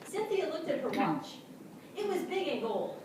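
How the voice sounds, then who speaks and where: A naive, earnest dog narrator voiced by a woman, high and very animated, telling a story with comic timing. It sounds like a theatre stage with heavy echo. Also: normal speed. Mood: earnest, droll. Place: small theatre stage before an audience. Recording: very echoey theatre, distant, noisy.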